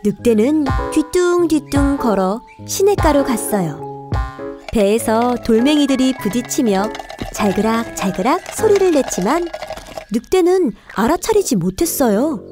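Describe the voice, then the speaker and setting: A woman's voice: high-pitched, expressive, unhurried, over music. A woman narrating a scripted children's fairy tale in a careful storytelling manner.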